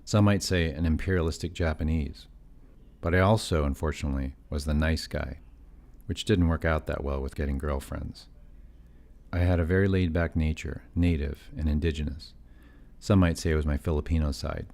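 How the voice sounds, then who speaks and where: A man's voice: rumbling low, fairly even intonation, normal speed, darkish tone. A middle-aged man from California narrating his own family story in scripted documentary style, with wry, self-deprecating asides.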